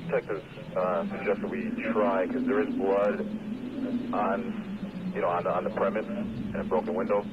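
Man, police radio, monotone, heavy noise. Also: sits low, moderate pace. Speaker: police officer. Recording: muffled, very noisy police radio.

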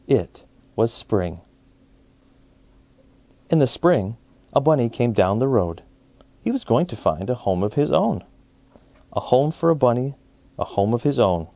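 Mellow American man reading to children. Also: medium pitch, no echo. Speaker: children's story time host. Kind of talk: read-aloud storybook narration for children.

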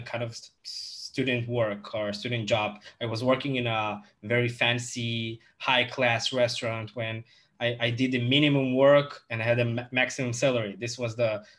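Young male guest, halting delivery with restarts, desk mic in a home office. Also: medium pitch, fairly bright tone. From Moldova. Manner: halting, many fillers and restarts. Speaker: guest, e-commerce entrepreneur. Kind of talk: casual video-call interview.

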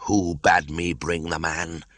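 A man voicing a huge old python, speaking in slow, hissing reproach. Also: low-pitched, little pitch movement, fairly bright tone, dry recording, full character voice. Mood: troubled.

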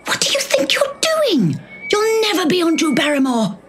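A woman's voice: very high-pitched, huge pitch swings, moderate pace, heavily acted. An actress playing a bullying, money-hungry female manager in an audio comedy, scolding and imperious. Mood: indignant. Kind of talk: romantic comedy audio drama acting. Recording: music bed behind the voice.

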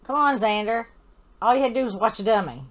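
A middle-aged woman giving casual, excitable reaction commentary, blurting exclamations as she co-hosts a show. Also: a little high, quick pace, a little hiss or hum. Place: small living room, on a couch.

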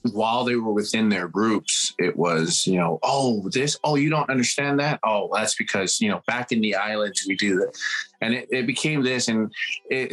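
Man, 30s, very low voice, reflective panel guest, video call. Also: a bit bright, husky, low-quality recording. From Samoa. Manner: reflective, frequent restarts. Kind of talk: casual panel discussion.

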